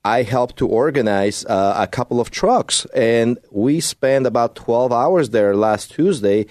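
A man's voice: medium pitch, measured pace, a little mellow, no echo. A man from Poland with a Polish accent, a native Polish speaker, talking conversationally as a real estate guest on studio radio.

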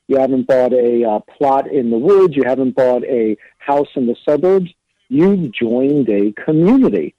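A man's voice: medium pitch, dark tone, no room sound. Lo-fi male attorney explaining. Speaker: attorney guest. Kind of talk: explaining on radio.

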